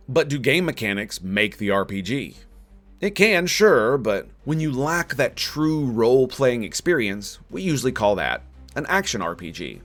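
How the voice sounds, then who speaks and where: A man's voice: mid-range voice, a bit bright. A man narrating a scripted video game critique in an opinionated, sardonic manner. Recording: music under the voice. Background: background music.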